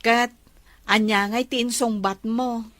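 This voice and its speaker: A woman's voice: a little high, steady tone, takes it slowly, a bit bright, no room sound. A Filipino woman playing a protective, exasperated female friend in an audio drama, speaking in an emphatic, admonishing way. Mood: scolding, insistent. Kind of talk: emotive audio drama acting.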